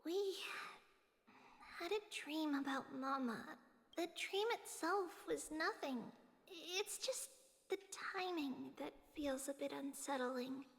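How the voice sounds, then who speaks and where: A gentle, solemn young girl for a video game. The voice is high and very unhurried, wistful and measured. It rings in long reverb with music underneath. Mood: pensive, worried. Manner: speaks of itself as "we", measured and wistful. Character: gentle solemn childlike girl, a fragmented soul speaking as "we". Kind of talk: scripted video game voice acting.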